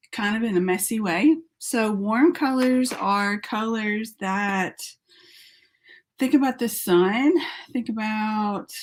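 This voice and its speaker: A woman's voice: medium pitch, a little hiss or hum. A woman artist with a sore throat and a fading voice, chatting casually and thinking aloud as she paints during a live tutorial. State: sore throat, losing her voice.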